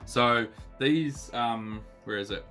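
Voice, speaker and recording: A man in his thirties with a New Zealand accent, still a bit husky from illness, chatting casually as he unboxes trading cards with lots of ums and uhs. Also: medium pitch, takes it slowly, pushed and bright, faint music behind. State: husky, recovering voice. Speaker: card collecting channel host.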